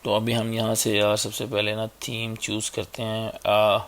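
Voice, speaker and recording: A man with a sore, hoarse throat giving a casual, chatty screen-recorded web design tutorial on a basic mic. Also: on the low side, monotone, measured pace, fairly bright tone. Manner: casual, chatty, fills gaps with "okay" and "theek hai". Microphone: basic mic, a better one on order.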